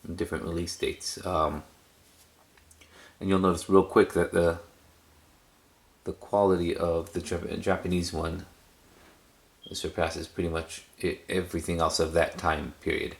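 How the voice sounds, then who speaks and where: A man's voice: sits low, flat delivery, a little slow, creaky, long pauses. A man chatting casually and hesitantly as he shows off a collection, repeating words for emphasis. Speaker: cassette collector video host. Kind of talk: casual rambling show-and-tell.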